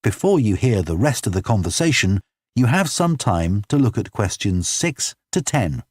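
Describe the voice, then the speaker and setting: A man narrates exam instructions formally. His voice sits fairly low with a husky edge, and his intonation moves clearly up and down as he delivers each scripted line. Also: sing-song, a little mellow, no echo, clean studio sound. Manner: formal, measured announcing.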